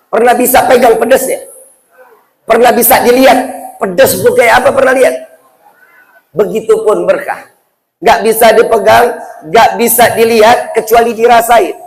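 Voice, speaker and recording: A man's voice: high-pitched, poor audio. A man with a Jakarta Indonesian accent preaching Islam to an audience in a playful, colloquial way, often putting questions to his listeners. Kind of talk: humorous sermon to a live audience.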